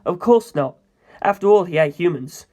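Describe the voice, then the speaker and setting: Casting: story narrator, middle-pitched voice, reading first-person fiction aloud. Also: lively intonation, normal speed, darkish tone. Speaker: story reader.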